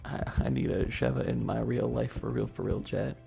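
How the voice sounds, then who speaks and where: A man's voice: medium pitch, moderate pace, gravelly, warm tone, faint music behind. A man chatting casually as a livestream host, bantering with viewers in a slangy, joking way. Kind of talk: casual livestream chat, ASMR streamer.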